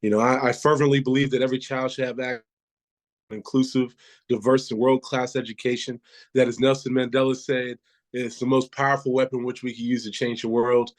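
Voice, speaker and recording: A man with a congested voice from a cold answers interview questions earnestly, filling his pauses with ums and uhs. His voice sits at a middling pitch. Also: level tone. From Pittsburgh, Pennsylvania. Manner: earnest, with frequent fillers. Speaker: school board candidate.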